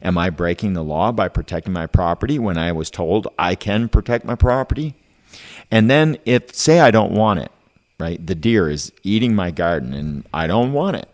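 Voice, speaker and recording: Really low voiced guy on a podcast, talks it through casually, keeps asking rhetorical questions. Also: lively intonation, normal speed, a little mellow. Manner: rhetorical questions, tag "right?". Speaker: podcast host. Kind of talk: casual discussion.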